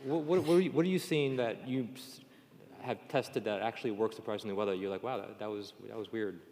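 A man's voice: lowish voice, fairly quick, a little mellow. A man moderating a panel discussion, asking questions in an informal, conversational way. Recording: reverberant hall.

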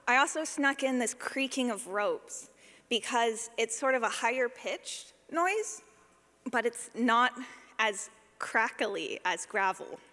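A woman's voice: a little high, a bit bright, big-room sound. A woman giving an informal talk as a sound designer, chatty, playful and self-deprecating.

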